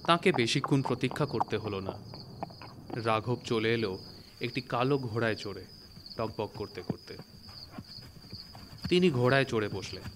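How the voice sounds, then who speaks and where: A man's voice: mid-range voice, background noise. A man narrating a literary story from a script. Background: prominent music.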